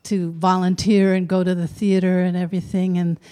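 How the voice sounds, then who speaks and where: A middle-aged woman telling a personal story to an audience in a frank, conversational way, pausing on small fillers. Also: lowish voice, little pitch movement, warm tone, clear, resonant tone.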